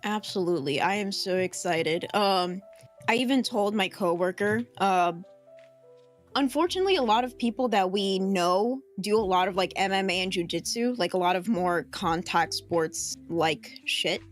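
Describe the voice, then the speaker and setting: A woman's voice: medium pitch, normal speed, faint music behind. Chatty young woman, casual podcast talk. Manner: chatty, lots of ums and likes. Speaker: podcast co-host. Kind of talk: casual chat.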